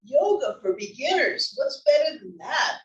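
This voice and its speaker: A woman's voice: sits high, big pitch swings, a little slow, dull audio. A woman reading the part of a cheerful, adventurous older wife in a comedy play. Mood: upbeat, playful. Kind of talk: table read of a comedy play. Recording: roomy, distant library table-read recording.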